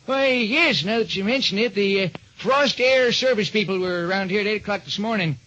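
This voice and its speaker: A man's voice: sits high, fairly quick, sharp tone, lo-fi sound, a little hiss or hum. A man playing a helpful, chatty elevator operator in 1940s radio drama style, on an old radio recording. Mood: chatty, obliging.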